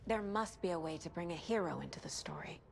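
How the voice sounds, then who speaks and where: An actress playing a determined, level-headed investigator in a video game cutscene, speaking firmly and seriously. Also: female voice, on the low side, animated, vocal fry, a little hiss or hum. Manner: firm, measured, serious.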